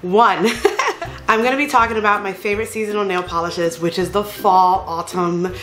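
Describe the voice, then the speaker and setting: A woman in her thirties chatting casually about nail polish, gushing and excited, with many fillers. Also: medium pitch, moderate pace, slight background noise. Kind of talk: casual vlog chat. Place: bedroom at home. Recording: echoey room with faint music.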